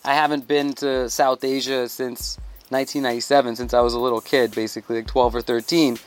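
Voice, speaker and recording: A man from Thailand telling a travel story in a loose, animated way as a podcast guest. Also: medium pitch, a little fast, faint music behind. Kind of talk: casual storytelling.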